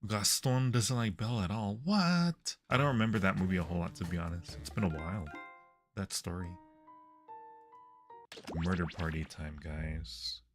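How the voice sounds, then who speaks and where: A man's voice: deep voice, moderate pace, faint music behind. A man hosting a livestream, chatting casually with his viewers while playing games. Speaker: livestream host.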